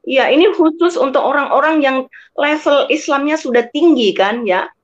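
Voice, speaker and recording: A woman's voice: high-pitched, moderate pace, muffled recording. An Indonesian woman teaching scripture conversationally, quoting and translating phrase by phrase and checking for agreement. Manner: explanatory, quotes and translates phrase by phrase, seeks agreement. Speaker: guest explaining scripture.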